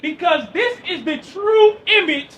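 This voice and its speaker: High bright male street preacher, shouting, outdoors, distant mic, faint street noise. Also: measured pace. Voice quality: loud and projecting, near yelling. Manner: asks the crowd questions and answers them. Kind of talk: loud open-air street preaching.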